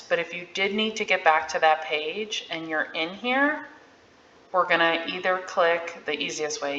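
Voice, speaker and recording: A woman giving a casual, candid software walkthrough in an informal, unscripted way. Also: deep voice, slight background noise. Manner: informal, candid about not being an expert. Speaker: realtor giving a software tutorial. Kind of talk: casual screen-share walkthrough. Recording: dull, roomy-sounding audio.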